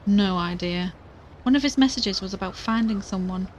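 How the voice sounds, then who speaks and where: An actress playing a worried, determined young podcaster in an audio drama, earnest and conversational. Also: female voice, mid-range voice, moderate pace, a little mellow, a little hiss or hum, natural voice, rough recording. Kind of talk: audio drama dialogue and recorded narration.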